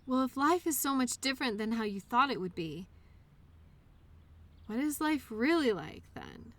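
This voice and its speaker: A woman playing an innocent, childlike imaginary friend in an intimate audio drama, speaking earnestly and eagerly with wistful, hesitant pauses. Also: sits high, lively intonation, fairly bright tone. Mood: gentle, questioning. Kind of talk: intimate scripted audio roleplay monologue addressed to listener.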